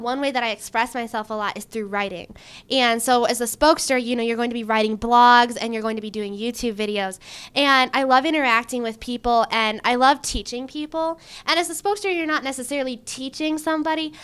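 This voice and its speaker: Young woman job candidate, casual rambling answers, clear tone, handheld mic indoors. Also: on the high side. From Michigan. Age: young adult. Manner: chatty, animated, lots of likes and ums, gestures with her hands. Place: indoor room before a banner. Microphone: handheld mic held by the interviewer.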